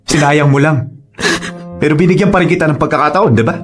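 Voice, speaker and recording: A man's voice: fairly high pitch, fast, faint music behind, close to an everyday voice. A man acting a wounded young father in a Filipino radio drama, speaking with emotion. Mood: wounded, reproachful.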